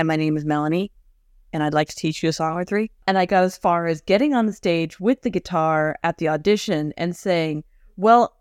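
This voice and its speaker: A woman's voice: lowish voice, no echo, studio quality. A woman co-hosting a podcast, telling chatty anecdotes in a casual way. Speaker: podcast co-host. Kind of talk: casual chat.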